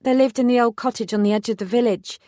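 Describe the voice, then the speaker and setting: A woman's voice: on the high side, steady tone, fast, warm tone, no room sound, studio quality, voice pushed up, lightly acted. A woman voicing a careful, determined young woman police officer in a read-aloud story. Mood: guarded.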